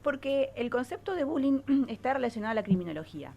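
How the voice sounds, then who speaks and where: A woman's voice: on the high side, big pitch swings, fast, gravelly, darkish tone, lo-fi sound. A woman with an Argentine accent, a psychologist columnist explaining things on radio and often checking in with short tag questions.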